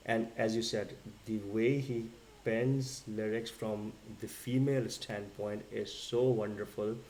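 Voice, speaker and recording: A man co-hosting a song reaction, agreeing warmly and often with his partner before giving his own view. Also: fairly low pitch, steady tone, unhurried, a little hiss or hum, low-quality recording. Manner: agreeable, frequent affirmations. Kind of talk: casual reaction chat.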